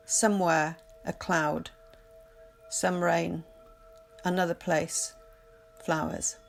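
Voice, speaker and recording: A woman recites poetry at a slow, deliberate pace. Her voice has noticeable creak at a middle pitch, and she sets each phrase apart with pauses. Music plays faintly behind her. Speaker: poetry reader. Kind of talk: measured literary poetry recitation.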